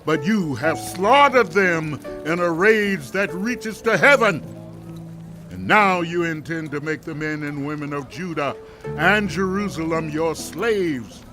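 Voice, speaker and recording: A man's voice: on the high side, expressive, unhurried, music in the background. An actor playing a stern, indignant prophet who proclaims his rebuke in a dramatized audio Bible. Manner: declamatory, forceful, proclaiming.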